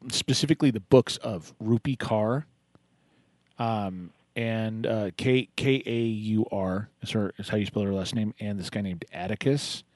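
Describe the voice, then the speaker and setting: A man's voice: on the low side, unhurried, dry recording, studio quality. A man hosting a radio talk show, chatting casually and encouragingly with a caller, rambling a little as he gives advice. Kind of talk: casual on-air chat with a caller.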